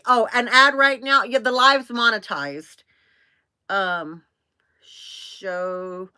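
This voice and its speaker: A woman of 57, hosting a casual live stream in an excitable, scattered, bubbly way and chatting to viewers. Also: a little high. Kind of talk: casual chat, greeting viewers by name.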